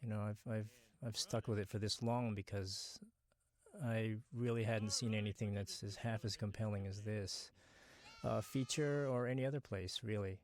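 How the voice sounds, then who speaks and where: Earnest low-voiced animator, a man. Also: fairly even intonation, normal speed. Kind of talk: casual audio commentary.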